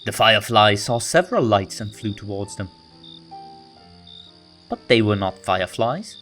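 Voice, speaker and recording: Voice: mid-range voice, very animated, measured pace, fairly bright tone. Children's storybook narrator over music. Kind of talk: scripted read-aloud narration for children. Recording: music bed under the voice.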